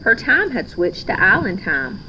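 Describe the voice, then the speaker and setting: A woman reading a children's storybook aloud in a measured, expressive way. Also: medium pitch, dull audio, a little hiss or hum. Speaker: storybook reader. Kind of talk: scripted children's story narration, read aloud.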